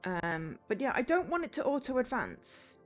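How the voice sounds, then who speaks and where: A woman's voice: on the high side, very animated, normal speed, soft background music. A woman game streamer chatting live and reading game text aloud, breaking off for exasperated asides.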